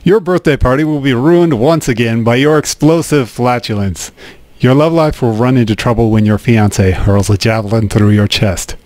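Man, let's play host, mid-pitched, casual wry commentary, game music behind. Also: normal speed, darkish tone, no echo. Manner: casual, wry, dry humor.

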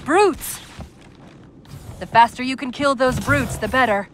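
A woman playing a brisk, confident AI guide in a video game, giving urgent, clipped combat advice. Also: high voice. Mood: urgent, alert. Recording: loud battle noise.

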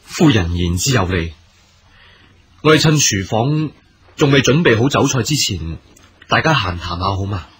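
An earnest imperial commander in a period radio drama. His voice is rather low and heavily husky. He speaks Hong Kong Cantonese with formal, gracious courtesy and loyal gravity. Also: expressive, normal speed, long pauses, a bit bright, full character voice. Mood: friendly, gracious.

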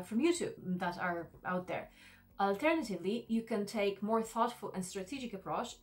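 Measured woman explaining a tool to camera. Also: mid-range voice. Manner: careful, measured, frequent "uh" fillers. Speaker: e-learning designer. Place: small decorated studio room, indoors. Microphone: camera framed at desk, mid shot.

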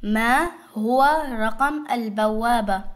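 A woman's voice: fairly high pitch, fairly quick. A woman speaking short words and phrases one at a time for learners to repeat. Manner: short, carefully spoken single words and phrases. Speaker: language teacher pronouncing words. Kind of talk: model word pronunciation for learners.

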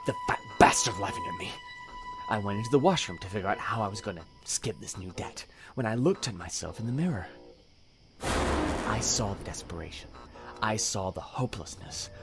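Deranged serial killer, audio drama: halting, whisper-prone menace, widely swinging pitch, clear music underneath. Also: male voice, lively intonation. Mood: enraged. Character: deranged, self-righteous male serial killer confiding in his victim.

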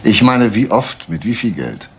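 An actor playing a persistent police detective in a radio crime drama, asking terse, insistent questions. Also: male voice, lowish voice, very animated, a little slow. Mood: probing.

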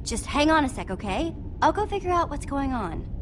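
A high voice over faint noise, a plucky young heroine in a video game. She speaks earnestly, like a leader trying to keep the peace. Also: big pitch swings, fairly quick. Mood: eager, curious. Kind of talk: animated video game acting.

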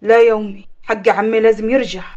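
A woman voicing a resolute, protective young man with an Upper Egyptian accent, speaking firmly and deliberately in an audio story. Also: fairly high pitch, steady tone, a little mellow, muffled recording, pitched below the performer's own voice, natural voice. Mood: grave, determined.

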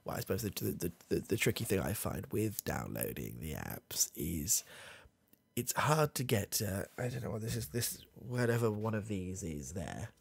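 A man's voice: lowish voice, very animated, moderate pace, gravelly, husky, dry recording, full character voice. A young man with a British accent playing a muddled, proud old grandad who can't handle technology, rambling and hesitant in a close-miked comedy ASMR roleplay. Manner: rambling, hesitant, full of fillers and restarts. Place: small dim room with coloured lights. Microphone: close mic, face near camera.

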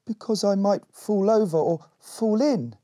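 A man's voice: high voice, unhurried, mellow, no echo, clean studio sound, voice pushed up. A man voicing a timid, fearful young elf boy from a storybook, with a hesitant stammer. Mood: frightened.

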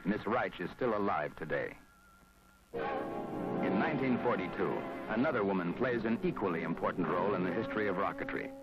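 A man reading scripted documentary narration in a measured, formal, dramatic way with weighty pauses, on an archival film soundtrack. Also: deep voice, lively intonation, low-quality recording. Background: prominent background music.

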